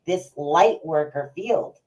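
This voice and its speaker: A woman in her fifties co-hosting a casual interview, chatty and quick to react. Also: sits low, expressive, darkish tone. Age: 50s. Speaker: co-host.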